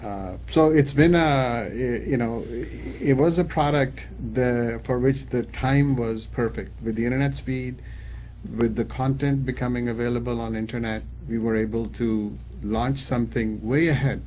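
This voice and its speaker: A man's voice: mid-range voice, normal speed, muffled recording, slight background noise. A man in his fifties from Pakistan, raised in Saudi Arabia, answering interview questions as a company founder in a casual, hesitant way with many fillers and restarts.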